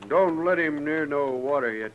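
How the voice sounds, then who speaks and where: An actor playing a bitter, domineering American frontier father in a 1950s radio western, gruff and blunt. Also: a little high, normal speed, slight background noise, close to an everyday voice. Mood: gruff, suspicious. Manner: gruff, blunt, folksy drawled grammar. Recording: dull old radio recording.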